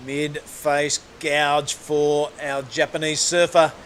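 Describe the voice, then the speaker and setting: A man's voice: fairly high pitch, little pitch movement, takes it slowly, a bit bright, a little hiss or hum. A man hosting live surf commentary, calling rides in a laid-back, matey way.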